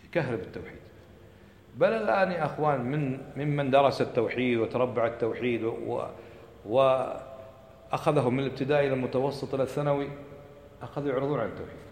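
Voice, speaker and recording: A man giving an impassioned religious lecture, firing off rhetorical questions and dropping to a low voice for emphasis. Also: fast, pauses often. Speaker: religious teacher. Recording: echoey hall recording.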